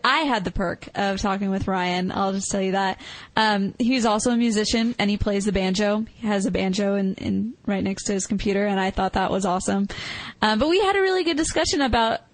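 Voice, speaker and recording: A woman's voice: mid-range voice, no room sound, poor audio. A woman chatting casually as a podcast co-host. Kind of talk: casual chat.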